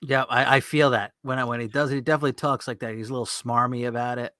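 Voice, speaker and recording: A man's voice: mid-range voice, fairly even intonation, fast talker, pushed and bright, no echo, studio quality. A man co-hosting a podcast in casual chat, talking in an informal, conversational way. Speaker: podcast co-host.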